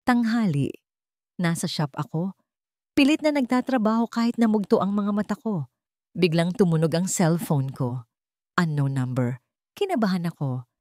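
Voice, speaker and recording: A woman's voice: lowish voice, expressive, moderate pace, pauses often, a little mellow, no echo, studio quality. A woman reading a dramatic first-person story as a scripted narrator.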